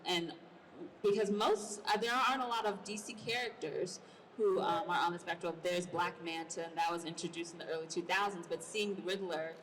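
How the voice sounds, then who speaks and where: Low-voiced woman moderating a panel, distant mic. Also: steady tone, brisk, fairly bright tone. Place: large indoor convention panel. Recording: noisy convention hall, distant mic.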